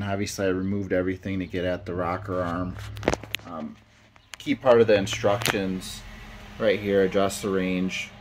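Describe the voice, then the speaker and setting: A middle-aged man with a fairly low voice talks through a hands-on mechanical job. He speaks casually and haltingly, thinking aloud as he goes. There is faint noise from the workshop around him. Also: a little mellow. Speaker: hobbyist mechanic demonstrating a repair. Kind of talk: casual hands-on how-to narration. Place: home garage workshop, indoors.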